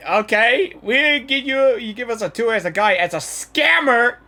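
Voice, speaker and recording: Lively woman reacting casually to a game. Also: fairly high pitch, moderate pace, background noise. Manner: informal, talks back to the game. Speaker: gaming reaction YouTuber. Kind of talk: casual live reaction commentary. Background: game music.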